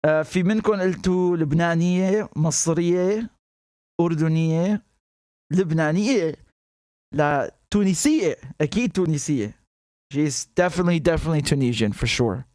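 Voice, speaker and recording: Brief: Damascene-accented male host, unhurried, slightly high pitch, laughs often while chatting. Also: darkish tone, no echo, clean studio sound. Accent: Damascene Arabic. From Syria. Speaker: host reacting to clips. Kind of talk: casual reaction commentary.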